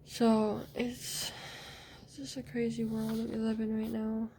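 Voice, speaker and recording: A woman's voice: fairly high pitch, monotone, unhurried, dark tone, slight background noise, poor audio. A woman talking in a casual video diary, hesitant and rambling with many fillers, sometimes dropping to near-whispers. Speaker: vlogger.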